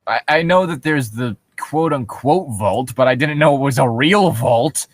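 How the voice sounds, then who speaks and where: A man's voice: medium pitch, unhurried, dry recording, studio quality. A man co-hosting a comedic podcast, chatting casually and reading aloud with a sardonic, profane manner. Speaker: podcast co-host. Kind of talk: casual comedic chat while reading horror stories aloud.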